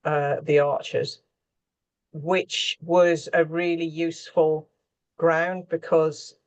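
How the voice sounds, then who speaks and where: A middle-aged or older woman, a scriptwriter, explaining her work in conversation on a panel. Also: sits low. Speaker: radio drama scriptwriter on a panel.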